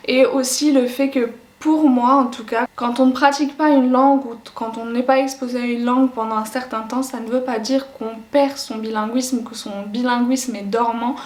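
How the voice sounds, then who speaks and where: A young woman talking to camera for her educational channel, thinking aloud and hesitating often. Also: high voice. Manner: reflective, with frequent hesitations. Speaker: educational YouTuber. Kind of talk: explanatory talk to camera. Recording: echoey room.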